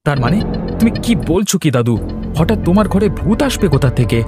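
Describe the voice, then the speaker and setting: A man's voice: on the high side, sing-song, fairly quick, music in the background. A man playing a concerned young villager in a horror cartoon, with a worried, inquisitive manner. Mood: worried, puzzled.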